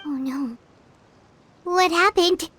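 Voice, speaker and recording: A woman voicing a small, childlike companion sprite in a video game, with a squeaky, earnest, inquisitive delivery. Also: takes it slowly, pushed and bright, slight background noise, exaggerated voice. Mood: curious, concerned. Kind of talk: scripted cartoonish game dialogue.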